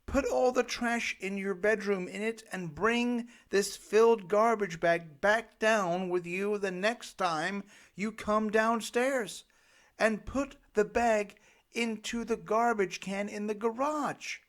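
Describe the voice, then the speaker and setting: A man's voice: high voice, slow, a bit bright. A middle-aged man reading his own self-help audiobook in a dramatic, emphatic storytelling manner. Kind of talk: scripted self-help narration.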